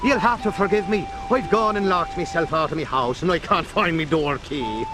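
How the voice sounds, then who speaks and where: A man's voice: on the high side, moderate pace, over music, lightly acted. An actor voicing a chatty, cheerful little Irish leprechaun man with a lilting, folksy way of talking.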